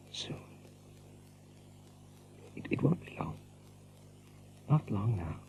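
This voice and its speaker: An actor playing an aging, gentle rabbit leader in an audio drama, with a halting, reflective, murmuring delivery. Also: male voice, lowish voice, vocal fry, heavily acted. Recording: muffled audio drama with music behind.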